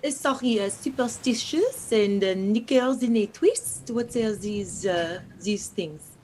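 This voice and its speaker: A woman's voice: medium pitch, measured pace, mellow, a little hiss or hum, close to an everyday voice. A woman playing a genteel lady passenger in a tabletop game, speaking in a polite, hesitant, questioning way. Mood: uneasy. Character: genteel French lady passenger, inquisitive and uneasy.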